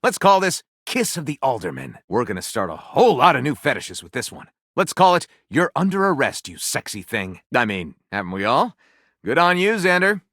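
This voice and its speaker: A man voicing a flamboyant, excitable male literary critic in a video game, with dramatic, playful delivery and grand announcements. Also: mid-range voice, moderate pace, dry recording, clean studio sound. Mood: wry, dramatic. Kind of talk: theatrical scripted game dialogue.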